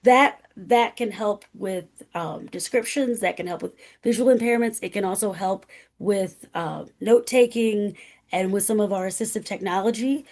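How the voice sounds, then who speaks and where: Loud-voiced woman professor talking emphatically, keeps asking right?. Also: medium pitch, normal speed. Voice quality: loud carrying voice. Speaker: guest speaker. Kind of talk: conversational presentation.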